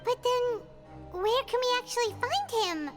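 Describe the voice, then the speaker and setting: A woman voicing a small, perky floating fairy companion with a squeaky childlike voice in an anime-style video game. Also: animated, measured pace. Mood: indignant, puzzled. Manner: talks of self in third person. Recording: music playing behind the voice.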